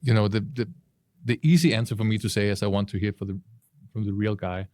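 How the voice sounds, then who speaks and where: A man with a somewhat low voice, recorded dry and close. He speaks conversationally on a podcast panel, reasoning aloud and stating his opinions. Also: fast, a little mellow, dry recording.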